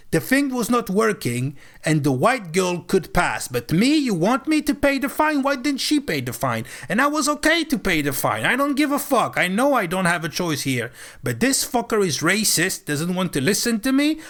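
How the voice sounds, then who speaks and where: Animated, foul-mouthed male streamer. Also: sits high. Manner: animated, swears freely, acts out heated arguments. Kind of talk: casual storytelling on a live stream.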